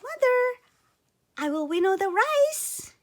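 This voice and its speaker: A woman's voice: very high-pitched, sing-song, slow pace, voice pushed up, heavily acted. A woman voicing a tiny, earnest seven-year-old boy in a storybook, pleading and eager.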